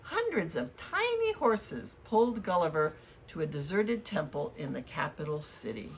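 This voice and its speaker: Female children's read-aloud reader, lower register, expressive and deliberate, with audible ambient noise. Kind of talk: storybook read-aloud with spoken introduction. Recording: clear background noise.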